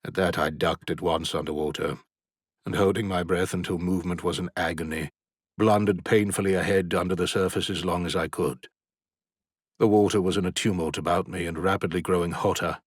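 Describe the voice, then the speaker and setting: A very deep, husky male voice reads a classic novel aloud in a dramatic audiobook style. His delivery is intense and swells to urgent heights when the action peaks. The recording is close and completely dry, with no room sound. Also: steady tone, brisk, no room sound. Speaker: audiobook narrator.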